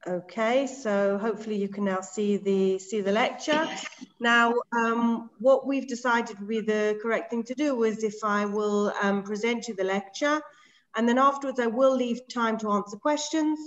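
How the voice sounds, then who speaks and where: A woman's voice: a little high, fairly even intonation, normal speed. Middle-aged english woman giving an online lecture, orderly, lots of ums, kinda dull muffled call audio. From England. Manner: clear and organised, with frequent ums. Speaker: medical director giving a webinar lecture. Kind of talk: presenting a lecture. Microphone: video call.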